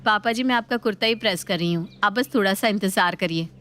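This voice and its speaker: A woman voicing a cheeky young daughter-in-law in an animated moral story, explaining things with mock-polite innocence. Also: mid-range voice, fast, smooth, natural voice. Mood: mock-innocent.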